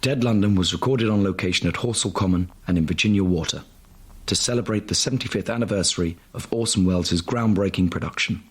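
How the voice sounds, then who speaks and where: A man's voice: deep voice, quick pace, slightly rough. A man reading a scripted credits announcement as an announcer.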